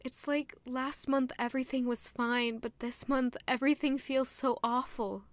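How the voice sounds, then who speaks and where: A woman's voice: high voice, fairly even intonation, moderate pace, no room sound, close to an everyday voice. An actress playing a polite, anxious young woman in royal service in an audio drama, earnest and a little hesitant. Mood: troubled. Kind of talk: scripted audio drama acting.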